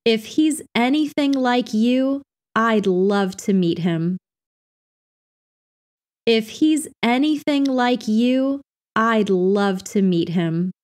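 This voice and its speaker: Slow, lively woman reading practice phrases. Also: a little high. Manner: clear, carefully enunciated delivery. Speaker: English lesson reader.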